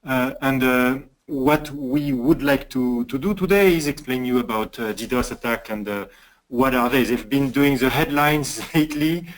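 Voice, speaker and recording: A man presenting as a cybersecurity manager in a webinar, speaking haltingly with many hesitations. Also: medium pitch, rough recording.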